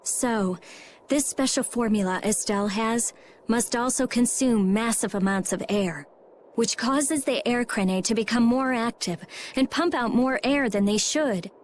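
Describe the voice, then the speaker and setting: A woman's voice: fairly high pitch, measured pace, slight background noise, natural voice. An actress playing a serious, clever girl researcher in a fantasy game, explaining in an analytical manner. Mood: grave, analytical. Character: brainy, serious teenage girl mage-researcher. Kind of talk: dubbed fantasy game voice acting.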